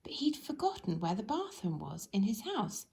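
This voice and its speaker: A woman's voice: mid-range voice, huge pitch swings, moderate pace, vocal fry, darkish tone. A woman storyteller narrating a children's storybook.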